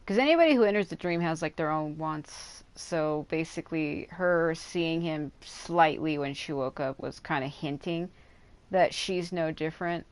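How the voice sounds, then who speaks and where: A woman's voice: low voice, normal speed, clean tone, poor audio. A woman doing casual, informal live commentary on a game, musing aloud as she goes. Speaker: game livestreamer.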